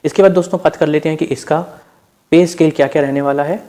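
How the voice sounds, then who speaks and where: A man's voice: a little high, fairly even intonation, fast talker, dark tone. A man with an Indian accent gives an informal instructional explainer as an exam preparation presenter, addressing listeners as friends and mixing in English terms. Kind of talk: informal explainer narration over on-screen slides.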